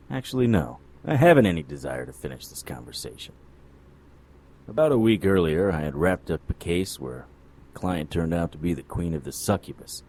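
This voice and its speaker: An actor playing a cynical, world-weary private detective in a noir radio drama, wry and sardonic. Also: male voice, deep voice, a little mellow, heavily acted. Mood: sardonic, impatient. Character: cynical male hard-boiled occult private detective.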